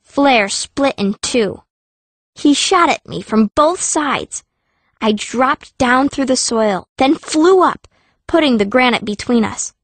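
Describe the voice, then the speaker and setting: A woman narrates a first-person fantasy audiobook as its young heroine, with expressive, dramatic storytelling. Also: high-pitched, slow, dry recording.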